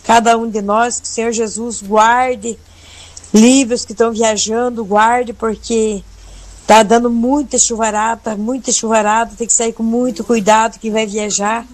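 Woman, devout blessing, high voice, flat delivery. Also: unhurried. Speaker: listener sending a message. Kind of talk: recorded blessing message.

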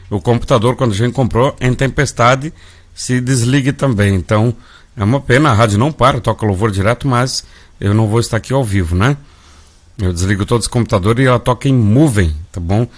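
A Brazilian man hosting a live gospel radio show, folksy and calling his listeners family. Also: on the low side. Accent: Brazilian Portuguese. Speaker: radio host.

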